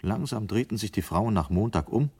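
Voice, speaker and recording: A man's voice: fairly low pitch, animated, normal speed, a little mellow, breathy edge. A man narrating a literary radio drama in a scripted storytelling style.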